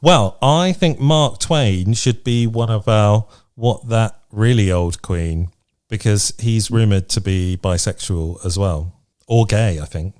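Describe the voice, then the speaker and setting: Male co-host, lowish voice, casual and encouraging conversational delivery. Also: slow, a little mellow, smooth. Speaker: podcast co-host. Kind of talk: casual chat.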